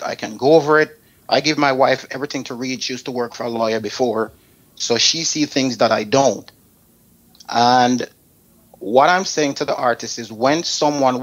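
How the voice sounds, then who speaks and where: A man's voice: mid-range voice, fairly bright tone. A man with a Jamaican accent hosting a talk show, bluntly and emphatically driving his points home by repeating them. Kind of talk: opinionated radio talk aimed at advising artists.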